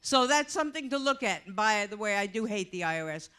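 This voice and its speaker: A woman in the audience speaking off the cuff without a microphone, with a naturally loud voice. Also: medium pitch, lively intonation, fast, smooth. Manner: loud, talks without a mic. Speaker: audience member asking a question. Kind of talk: off-the-cuff remark from the floor.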